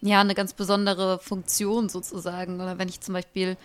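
Young female co-host with an even, level tone, musing casually and pausing to find her words. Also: mid-range voice, smooth. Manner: reflective, searching for words. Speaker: podcast co-host. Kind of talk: casual conversation.

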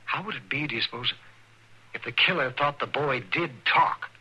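A man playing a wry, folksy frontier town doctor in a 1950s radio western, with dry and halting speech. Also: medium pitch, bright, forceful tone, slightly rough, low-quality recording. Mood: grave. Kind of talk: 1950s radio drama acting.

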